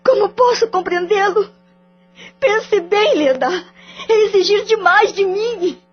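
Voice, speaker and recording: A woman's voice: extremely high pitch, takes it slowly. An actress playing a betrayed wife and mother, speaking through tears in a radio drama. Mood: tearful. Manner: tearful, pleading, wounded. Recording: dull lo-fi audio with faint music.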